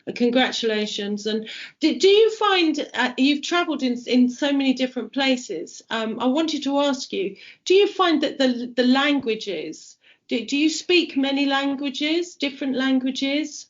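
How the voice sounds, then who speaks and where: A woman interviewer with a fairly high voice encourages her guest and often stumbles and repeats words as she builds her questions. The sound is dull and lo-fi. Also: animated, a little slow. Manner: encouraging, with hesitant restarts. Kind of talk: conversational interview.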